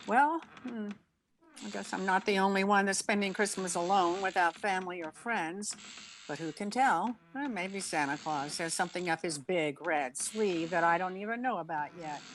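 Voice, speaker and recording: A woman's voice: fairly low pitch, normal speed, slight background noise, natural voice. A woman playing a wry, wisecracking single woman with dry, sardonic delivery in an old-time radio comedy. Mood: wistful, wry. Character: Miss Brooks, wry, wisecracking single woman, a cat owner.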